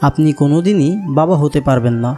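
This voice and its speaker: A man's voice: fairly high pitch, fast talker, close to an everyday voice. A man voicing a blunt, formal hospital doctor in a cartoon melodrama, matter-of-fact in delivery. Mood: grave, blunt. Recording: guitar music under the voice.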